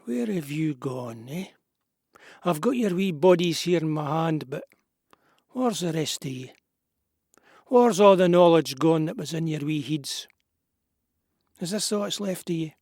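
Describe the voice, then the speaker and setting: A man playing a frail, grieving old Scottish beekeeper, gruff but whimsical, musing in broad dialect in an audio drama. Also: on the high side, lively intonation, a little slow, pauses often, darkish tone, no room sound, studio quality, exaggerated voice. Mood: grieving, bleak. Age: older. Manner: musing, rambling, dialect-rich.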